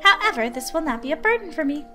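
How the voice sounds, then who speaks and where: Visual novel tutor: high-pitched, measured, courteous woman playing a scholarly young teacher, music underneath. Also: animated. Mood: patient, firm. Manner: polite, measured, earnest. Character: patient, formal woman researcher acting as substitute tutor. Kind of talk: visual novel character reading.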